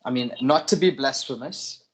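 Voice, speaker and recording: A man's voice: medium pitch, normal speed, low-quality recording. A man with an ordinary mid-range voice chats on a podcast, weighing his choices aloud. He hedges and restarts a little as he thinks. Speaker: podcast co-host. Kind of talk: casual wrestling predictions chat.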